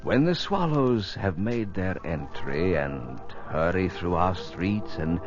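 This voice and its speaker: An actor playing an earnest, wonder-struck dreamer of flight, speaking poetically in a 1950s radio drama. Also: male voice, low-pitched, sing-song, slow, faint music behind, heavily acted. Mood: rapturous, yearning. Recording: muffled old radio recording.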